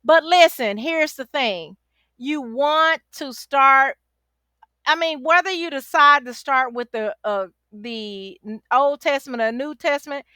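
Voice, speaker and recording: A woman with a forceful, high voice teaches like a chatty life coach. She urges the listener on with repeated phrases and a sassy, familiar tone. The sound is close and dry. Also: dry recording. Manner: exhorting, repeats phrases for emphasis; sassy, familiar, uses endearments like baby and honey. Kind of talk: casual, chatty Bible study teaching to camera.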